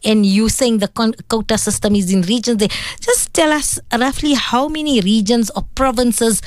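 A woman's voice: on the high side, normal speed. A middle-aged woman with a South African accent, interviewing as host in a conversational way and adding personal reflections.

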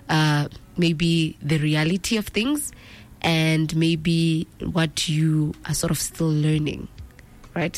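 A young woman, interviewing a guest casually and often murmuring agreement. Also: low-pitched. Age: 20s.

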